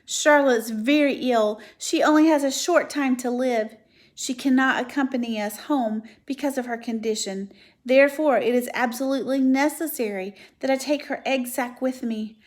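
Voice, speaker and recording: A woman's voice: on the high side, fairly even intonation, moderate pace, hardly any pauses, a little mellow, lightly acted. A woman voicing a young male pig who pleads and cries out in desperation. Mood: frantic.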